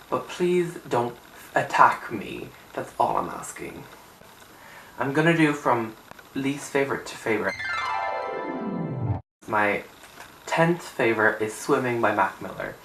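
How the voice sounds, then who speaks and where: A young man speaks with a raspy, just-out-of-bed voice, rambling and emphatic as he gives his opinions. He sits back from the camera in a small room, so the sound is roomy and a little distant. A faint hiss runs underneath. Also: mid-range voice, a bit bright. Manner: chatty, rambling, emphatic with filler "like". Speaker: music vlogger. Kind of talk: casual opinion chat to camera. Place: small untidy room indoors.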